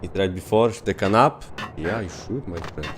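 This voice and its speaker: A man's voice: sits low, normal speed. A man in his thirties with a Polish accent, chatting loosely with viewers and swearing at the game as he streams. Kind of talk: casual livestream chat over gameplay. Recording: noisy game audio underneath. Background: game gunfire and ambience.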